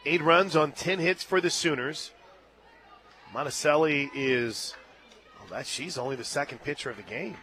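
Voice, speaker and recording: A man calling a live softball game on radio from a stadium booth, climbing into excited shouts on big plays and laughing easily. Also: fairly high pitch, moderate pace, some chatter behind. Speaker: radio play-by-play announcer. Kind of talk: live radio sports play-by-play.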